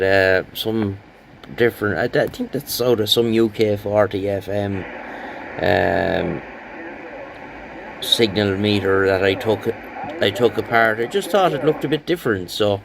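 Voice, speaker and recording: A man's voice: on the low side, slight background noise, low-quality recording. A man giving a casual, rambling, understated hands-on show-and-tell as a hobbyist, with some hesitation. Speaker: radio hobbyist presenting a rebuilt CB radio.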